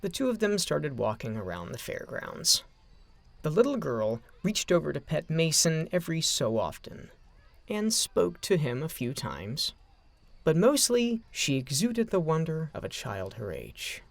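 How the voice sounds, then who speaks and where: Story narrator, male: expressive delivery with vocal fry, very dry recording. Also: a little high, unhurried, no room sound.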